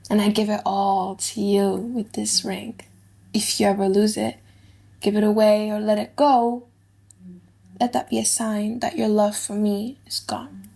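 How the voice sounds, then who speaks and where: A woman's voice: medium pitch, vocal fry, darkish tone. A woman playing a devoted young noble heiress in a dramatized audiobook, speaking in earnest, formal declarations. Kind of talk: dramatized audiobook of a classic play.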